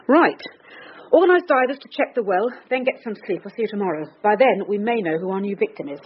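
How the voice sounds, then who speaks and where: Actress as a brisk, wry female detective. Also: medium pitch, expressive, natural voice. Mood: brisk, matter-of-fact. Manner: brisk, dry, probing. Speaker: police detective leading a murder inquiry. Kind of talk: naturalistic radio drama acting. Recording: dull, noisy radio drama recording.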